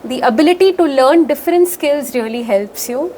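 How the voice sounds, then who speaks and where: A woman's voice: high voice, expressive, normal speed, mellow, a little hiss or hum. A young adult woman with an Indian English accent, a physician answering interview questions, hesitant, with many fillers and restarts.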